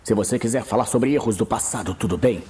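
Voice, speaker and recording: Man playing a hushed, husky, earnest archmage. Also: on the low side. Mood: restrained. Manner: hushed, measured, pleading. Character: weary, earnest male archmage, urgent and restrained. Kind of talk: dramatic fantasy audio drama acting. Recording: music bed behind voice.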